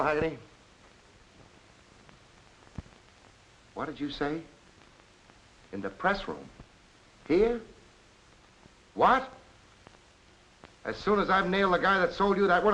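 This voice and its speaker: A man's voice: on the high side, animated, normal speed, long pauses, sharp tone, poor audio. An American man acting a tough, sceptical police lieutenant in a 1940s crime film, clipped and authoritative. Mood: incredulous, irritated. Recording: hissy old film soundtrack.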